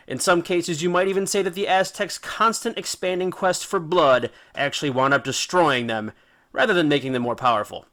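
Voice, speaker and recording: A man's voice: a little high, sharp tone. A man narrating a scripted myth in a colloquial, theatrical and playful style, as the host of an educational series. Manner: theatrical, playful, punchy delivery with dramatic pauses.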